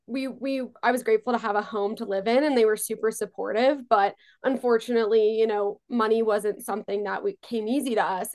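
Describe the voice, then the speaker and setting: Chatty young woman in her twenties. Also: a little high. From born in Israel, raised on Long Island, New York. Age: 20s. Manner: chatty, lots of "like" and "um" fillers. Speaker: guest entrepreneur. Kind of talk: casual interview talk.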